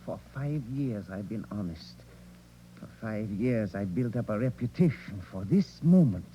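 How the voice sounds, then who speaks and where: An actor playing a bitter, greedy forger and murderer in a theatrical 1940s radio crime drama style. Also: male voice, lowish voice, animated, measured pace, dark tone. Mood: bitter rage. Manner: theatrical, brooding outbursts. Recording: dull, noisy vintage radio recording.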